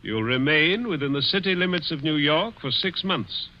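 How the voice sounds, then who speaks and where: A man's voice: on the high side, animated, moderate pace, close to an everyday voice. A man playing a gloating sinister rich man. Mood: menacing. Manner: sly, taunting, chuckling. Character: rich dying man, cruel, gloating gambler. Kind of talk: 1940s radio acting. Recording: dull old radio broadcast.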